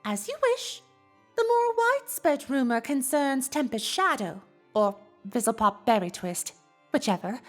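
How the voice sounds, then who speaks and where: A woman's voice: high voice, big pitch swings, measured pace, soft background music, full character voice. A woman playing a refined, teasing, gossipy female pony with theatrical, genteel phrasing in a cartoon fan audio drama.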